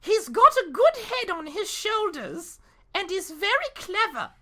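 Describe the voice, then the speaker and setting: A man's voice: extremely high pitch, sing-song, a little slow, sharp tone, pitched above the performer's own voice, exaggerated voice. A man voicing a female she-wolf mother in a storybook, earnest and singsong. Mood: earnest, approving.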